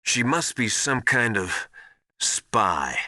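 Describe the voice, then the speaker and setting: An actor playing a stern, suspicious military mentor in a video game, deliberate and accusing. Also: male voice, mid-range voice, big pitch swings, no echo, studio quality, exaggerated voice. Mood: grave, suspicious. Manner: deliberate, forceful. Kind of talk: dramatic video game voice acting.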